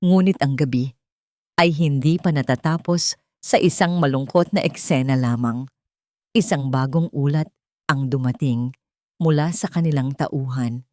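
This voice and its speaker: A woman's voice: low voice, warm tone, no echo, clean studio sound. A woman reading a dramatic story aloud as a scripted audiobook narration.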